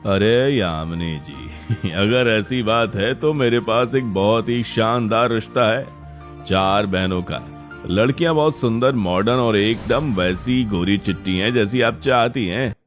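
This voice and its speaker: A man voicing a flattering, salesman-like matchmaker in a cartoon story, eager to oblige. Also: fairly low pitch, measured pace, lightly acted. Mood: ingratiating. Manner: salesman-like, ingratiating. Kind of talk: Hindi cartoon story voice acting. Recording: music behind the voice.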